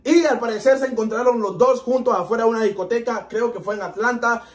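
A young man from Honduras with a Honduran Spanish accent, chatting excitedly as he reacts to a music video in a small room. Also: extremely high pitch, fast talker. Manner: chatty, excitable, animated with hand gestures. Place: small indoor room in front of a TV.

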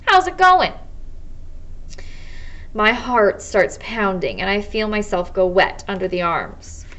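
An American woman in her twenties, a teacher reading a children's novel aloud in measured first-person narration. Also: medium pitch, fairly bright tone. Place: small indoor office or study.

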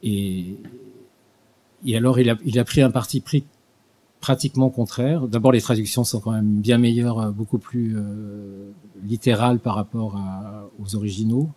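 An older man giving an unscripted talk in a small studio at a desk microphone, halting with frequent restarts and fillers. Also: lowish voice, steady tone, dark tone. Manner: hesitant, many restarts and fillers. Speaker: guest lecturer. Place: small studio with dark purple backdrop. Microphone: desk mic on stand.

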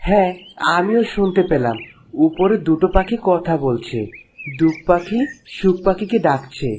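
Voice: medium pitch, lively intonation, moderate pace, a little mellow, slight background noise, close to an everyday voice. An actor voicing a protective, resolute young prince in a cartoon fairy tale, earnest and reassuring. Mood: hushed, thoughtful.

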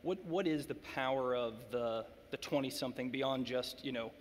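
Man asking questions in a reverberant hall. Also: medium pitch. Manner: measured, sets up questions with context. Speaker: interviewer. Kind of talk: onstage interview questions. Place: large hall stage, seated in armchair. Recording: long hall reverb, faint room noise.

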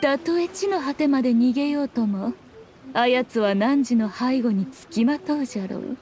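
An actress playing a haughty, teasing shrine priestess in a video game, composed and imperious, with old-fashioned phrasing. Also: female voice, high voice, normal speed, darkish tone, lightly acted. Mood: stern, scornful. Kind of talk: Japanese video game voice acting.

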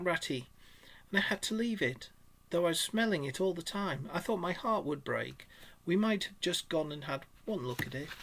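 A man's voice: fairly high pitch, vocal fry, voice pushed up. A man voicing a timid, homesick male mole who pleads in broken sobs in a storybook reading.

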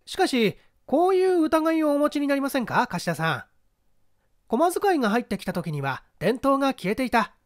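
A woman as a lively-pitched boy detective. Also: normal speed, dry recording, clean studio sound. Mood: calm, probing. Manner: polite, composed, self-assured. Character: calm, clever, confident teenage boy detective.

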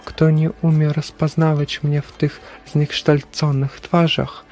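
A stern, solemn Christ in a religious play, acted by a man. The voice is slightly high for a man and creaky, with slow, preaching gravity. Faint music sits underneath. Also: flat delivery, darkish tone. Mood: grave, reproachful. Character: stern, solemn Christ speaking from a broken figurine. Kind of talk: dramatic religious stage-play acting.

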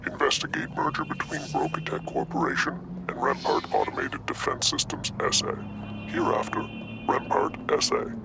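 Gravelly, clinical mission handler. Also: male voice, on the high side, animated, normal speed, loud music behind the voice. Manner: formal, clipped. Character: clinical, secretive male handler briefing a hired fixer. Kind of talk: scripted mission briefing in a video game.

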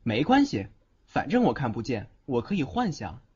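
A man's voice: medium pitch, wildly swooping pitch, moderate pace, a little mellow, slightly rough, full character voice. A young man acting a playful but protective young man in a Chinese audio drama. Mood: teasing. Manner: playful, teasing. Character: teasing, protective young man with secret tenderness. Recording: dull lo-fi audio with music.

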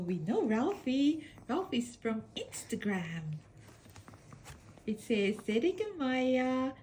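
Slow, lively woman. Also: a little high, a little hiss or hum. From Estonia. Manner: gentle, cooing baby-talk to her dogs, frequent soft laughs. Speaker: pet channel host. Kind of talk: casual vlog narration reading mail aloud. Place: home room with bed, off camera.

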